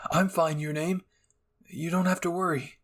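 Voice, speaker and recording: A man's voice: a little high, flat delivery, moderate pace, voice pushed up, lightly acted. A man voicing a frightened, apologetic young male soldier in an anime fan story, earnest and prone to stammering. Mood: reassuring. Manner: stammering when flustered.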